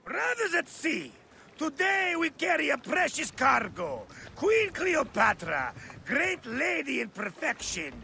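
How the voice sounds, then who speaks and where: A man's voice: extremely high pitch, wildly swooping pitch, takes it slowly, vocal fry, pushed and bright, a little hiss or hum, exaggerated voice. A man playing a boisterous, roguish older sea captain in a video game cutscene, theatrical and jovial, moving between bellows and wry asides. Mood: grandiose, jovial. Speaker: ship captain.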